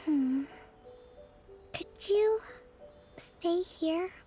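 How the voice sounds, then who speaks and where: A woman voicing a gentle, introverted young priestess in an anime game, speaking softly in an earnest, hesitant way. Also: very high-pitched, animated, extremely slow, faint music behind, full character voice. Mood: hopeful, gentle.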